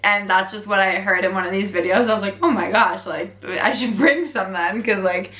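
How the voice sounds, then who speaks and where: Chatty Canadian woman, bright echoey voice. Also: mid-range voice, normal speed. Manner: chatty, candid, laughs often, lots of fillers. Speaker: vlogger. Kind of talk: casual chat, reacting to her own earlier video. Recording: echoey room.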